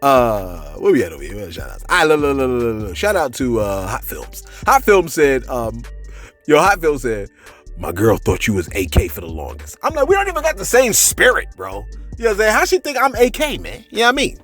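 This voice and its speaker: A man hosting a casual commentary show, reading posts aloud and answering viewers with animated, mock-angry bursts. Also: mid-range voice, a little fast, soft background music. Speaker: commentary channel host.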